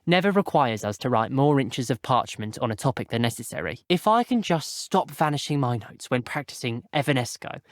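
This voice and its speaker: A man's voice: medium pitch, lively intonation, fairly quick, hardly any pauses, no echo, studio quality, close to an everyday voice. A man voicing an earnest, chatty teenage wizard schoolboy in a video game, with a British accent and a polite, wry, old-fashioned turn of phrase. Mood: earnest, conversational. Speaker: voice actor. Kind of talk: scripted video game character voice clips.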